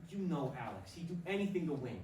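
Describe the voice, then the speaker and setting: An actor playing a troubled, earnest baseball player with a brooding, halting delivery in a staged play reading. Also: male voice, fairly high pitch, big pitch swings, a little mellow. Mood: troubled, insistent. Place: performance room, recorded from a distance. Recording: roomy, distant mic, faint noise.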